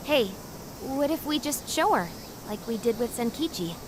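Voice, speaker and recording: A woman playing a quick-witted, nerdy teenage girl in a game dub. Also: fairly high pitch, animated, very noisy, close to an everyday voice. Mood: curious. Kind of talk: English game dub acting. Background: music.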